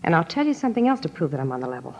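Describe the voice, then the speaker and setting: A hard-boiled, edgy dame from a vintage radio crime story. She speaks in a lowish voice with tough, slangy urgency. The recording is muffled and lo-fi. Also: very animated, rapid-fire, a little mellow, heavily acted. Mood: insistent. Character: hard-boiled, jittery young gangster's girlfriend with a secret. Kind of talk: old-time radio crime drama acting. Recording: dull, lo-fi old radio recording.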